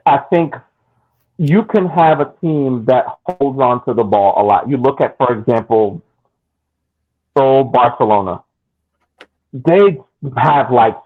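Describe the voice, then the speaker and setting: A man's voice: mid-range voice, narrow, tinny audio. A man co-hosting a soccer podcast, making long argumentative points in casual chat. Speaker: podcast co-host.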